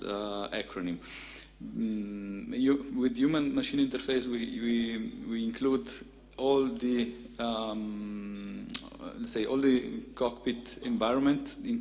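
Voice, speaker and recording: Male presenter, flat halting delivery, long hall reverb, faint hum behind, lots of fillers. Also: medium pitch. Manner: halting, many fillers and restarts. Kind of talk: conference talk.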